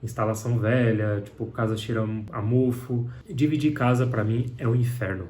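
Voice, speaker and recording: A man's voice: mid-range voice, mellow, slight background noise. A young man from Brazil with a Brazilian Portuguese accent, talking casually to camera in a candid, conversational way with lots of filler tags. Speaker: vlogger.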